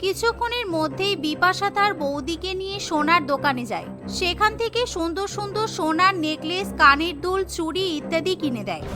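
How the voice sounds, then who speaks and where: Female narrator for cartoon storytelling, high voice, scripted read over clear music. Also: expressive, a little fast.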